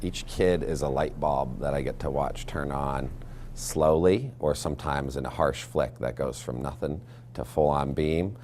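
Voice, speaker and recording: Very deep-voiced American male teacher. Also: a little slow, a little mellow, slight background noise. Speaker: seventh grade history teacher. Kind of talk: plain-spoken interview talk.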